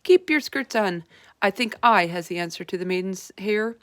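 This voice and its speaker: A woman's voice: mid-range voice, animated, normal speed, dry recording, clean studio sound, lightly acted. A woman voicing a big, friendly old male giant who mangles his words, read aloud from a storybook. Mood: earnest. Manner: mangled words, folksy, earnest.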